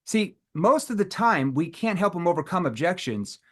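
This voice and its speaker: A middle-aged man leading a live sales training seminar, acting out prospect dialogue with pauses and rising tones. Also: sits high, big pitch swings.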